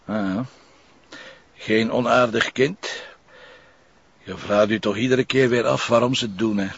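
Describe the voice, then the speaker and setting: An actor playing a sardonic police commissioner in a TV crime drama, a man with a gravelly voice, a Flemish accent and dry, teasing informality. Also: medium pitch, fairly even intonation, measured pace, a bit bright, no echo, full character voice. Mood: businesslike. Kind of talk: naturalistic television crime drama acting. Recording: dull, muffled TV soundtrack.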